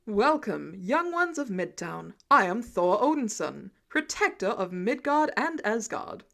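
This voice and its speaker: A woman's voice: mid-range voice, big pitch swings, a little slow, creaky, a bit bright, exaggerated voice. A woman voicing a hearty, grandiose male warrior god with formal, old-fashioned phrasing in a story reading. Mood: grand welcome. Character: booming, jovial Norse god warrior, adult man, grandly formal.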